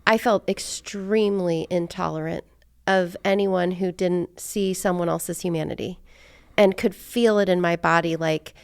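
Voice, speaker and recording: Creaky-voiced woman chatting candidly, close and dry. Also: lowish voice, fairly even intonation, measured pace, no room sound. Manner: candid, rambling, frequent "like". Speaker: podcast host. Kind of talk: casual personal chat.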